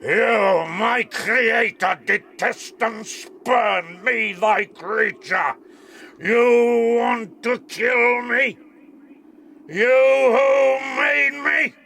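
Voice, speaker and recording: A man's voice: very high-pitched, extremely slow, creaky, bright, forceful tone, slight background noise, exaggerated voice. An actor playing an anguished, wronged monster in an audiobook drama, declaiming accusingly at his creator. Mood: anguished fury.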